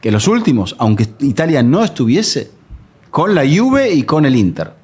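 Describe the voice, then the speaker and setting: A man's voice: mid-range voice, normal speed, slightly rough. A man co-hosting a football talk show, asking questions and steering the conversation. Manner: lively, questioning, steers the topic. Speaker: co-host. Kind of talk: casual football discussion.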